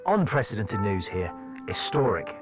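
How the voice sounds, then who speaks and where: A man's voice: fairly low pitch, sing-song, moderate pace. A man playing a grave television news anchor, formally delivering a weighty bulletin in an audio drama. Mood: grave, momentous. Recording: dull television broadcast sound under music.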